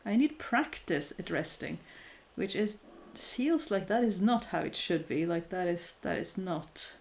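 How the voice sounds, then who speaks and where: A woman's voice: fairly low pitch, lively intonation, unhurried, a little mellow. A woman in her thirties chatting casually and candidly with her viewers, thoughtful and self-deprecating, often restarting her sentences. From Norway. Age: 30s. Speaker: crafting streamer chatting with viewers. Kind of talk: casual live chat.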